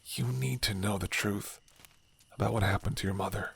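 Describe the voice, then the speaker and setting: A man's voice: fairly low pitch, fairly even intonation, normal speed, vocal fry, slightly rough, heavily acted. A man voicing a sardonic rebel leader who mocks an old friend and then pleads earnestly. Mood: grave.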